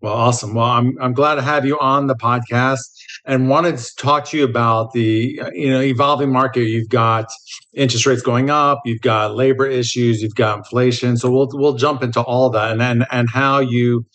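A man hosts a podcast and interviews a guest. His voice sits in a typical middle pitch, and he stumbles now and then on repeated words. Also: fairly even intonation, moderate pace, a bit bright. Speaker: podcast host.

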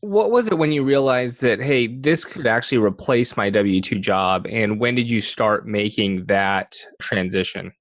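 A man co-hosting a podcast, asking interview questions in a relaxed, conversational way. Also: lowish voice, measured pace, hardly any pauses, no echo. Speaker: podcast co-host.

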